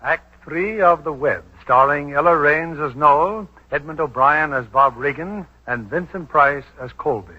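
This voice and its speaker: A man's voice: fairly high pitch, measured pace, few pauses, highs cut off. A man hosting and narrating a radio drama in a scripted 1940s broadcast style.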